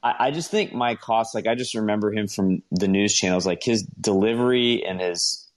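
A man's voice: fairly low pitch, moderate pace. A man co-hosting a sports podcast, chatting casually in a loose, rambling, conversational way. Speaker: podcast co-host.

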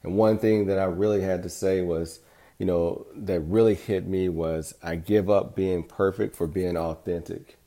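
A man's voice: deep voice, fairly even intonation, a little slow, warm tone. A middle-aged man hosting a podcast, speaking in an earnest, encouraging way in a reflective motivational monologue. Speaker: podcast host.

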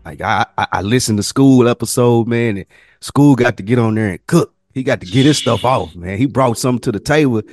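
A man's voice: mid-range voice, a little fast. A man hosting a podcast in casual, slangy conversational talk. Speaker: podcast host. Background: music.

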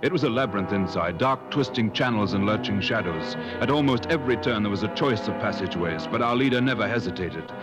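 An actor playing an earnest male adventurer who narrates a safari tale in measured, dramatic 1950s radio style. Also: lowish voice, animated, natural voice. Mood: grave, suspenseful. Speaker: narrator and character in a radio play. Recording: old radio broadcast with music.

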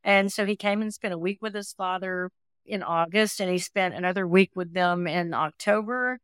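A woman's voice: lowish voice, moderate pace, fairly bright tone, clear, resonant tone, no room sound, clean studio sound. A woman in her sixties telling personal stories as an interview guest, earnest and talkative. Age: 60s. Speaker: interview guest, adoptee advocate. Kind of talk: conversational personal storytelling.

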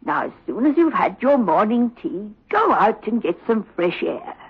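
A woman playing a fussing, soothing middle-aged aunt with a husky voice in a 1960s radio drama. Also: mid-range voice, sing-song, moderate pace. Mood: reassuring. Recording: muffled old-time radio recording.

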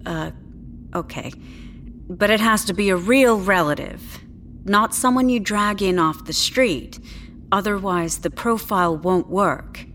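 An actress playing a polite, clinical, tactfully condescending doctor, speaking in a measured, slightly hesitant way in an audio drama. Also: female voice, medium pitch, a bit bright, slight background noise, close to an everyday voice. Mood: careful, tactful.

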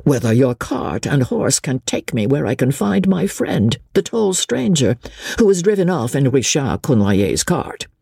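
A man voicing a suave, calculating male official in an audiobook, quietly commanding and impatient, with menace underneath. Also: mid-range voice, big pitch swings, a little slow, gravelly, breathy edge, dry recording, clean studio sound, pitched above the performer's own voice, full character voice. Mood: suave probing. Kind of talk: audiobook character voice.

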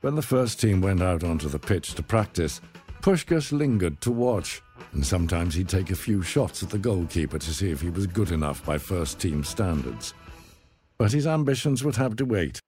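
A man reading a scripted documentary narration in a measured, dramatic storytelling manner. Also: sits low, expressive, a little mellow, soft background music.

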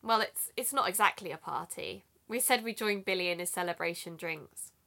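Woman acting composed lady sleuth podcaster, crisp bright voice with some fry, audio drama. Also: fairly low pitch, moderate pace. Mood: chatty. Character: composed, businesslike female amateur sleuth hosting a podcast. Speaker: amateur investigator, podcast host. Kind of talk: scripted audio drama narration and dialogue.

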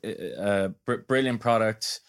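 A man's voice: lowish voice, steady tone, normal speed, clean tone. A man speaking as a podcast guest in a casual tech discussion, thoughtful and hesitant in his phrasing. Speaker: podcast guest, product design director.

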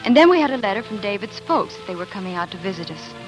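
Woman to play tender, earnest pioneer wife narrating 1940s radio drama; mid-pitched voice, music underneath. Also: big pitch swings, fast talker, close to an everyday voice, low-quality recording. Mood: proud, reflective.

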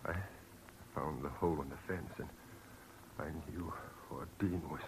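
An actor playing a dying man in a 1940s radio drama, weak, halting and half whispering. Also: very deep voice, fairly even intonation. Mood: fading, gasping. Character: dying, stabbed male sanitarium attendant, weak and gasping. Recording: faintly noisy old radio recording.

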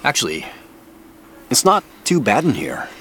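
A man's voice: mid-range voice, huge pitch swings, a little slow, bright, forceful tone, a little hiss or hum, heavily acted. An actor playing a wry, evasive, preoccupied businessman in a naturalistic audio drama. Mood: casual, evasive.

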